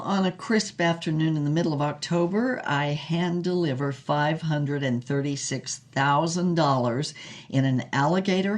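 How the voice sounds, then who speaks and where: An actress plays an older woman, a former movie star with a worldly, frank air. She spins her memories like a practised raconteur in an audio drama. Her delivery is theatrical and deliberate, pausing for effect. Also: sits low, darkish tone. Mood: coy, knowing. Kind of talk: dramatised interview, storytelling monologue.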